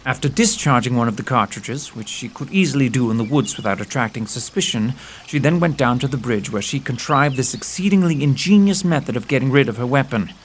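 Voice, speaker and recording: Male actor as self-assured detective, precise old-fashioned diction, audio drama, mid-pitched, faint background noise. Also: expressive, a little fast, hardly any pauses, lightly acted. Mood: explaining, grave. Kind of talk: audio theatre acting.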